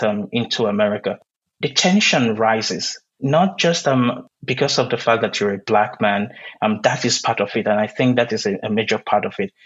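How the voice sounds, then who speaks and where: A man from Nigeria, a poet whose first language is Igbo, speaking in an interview, pausing often with many "um"s as he searches for words. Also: medium pitch, husky, muffled recording. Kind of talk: reflective interview conversation.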